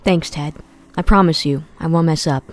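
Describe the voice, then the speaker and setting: A woman's voice: low-pitched, moderate pace, heavy background noise, lightly acted. A woman playing a determined, wry man on the run in a fan dub of a film drama. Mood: urgent, determined.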